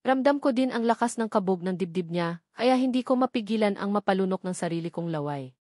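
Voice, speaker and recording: A woman narrates a romance audiobook in the first person. Her voice sits at an average pitch for a woman. The recording is dry, with little room sound. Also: lively intonation, brisk, a little mellow, no room sound.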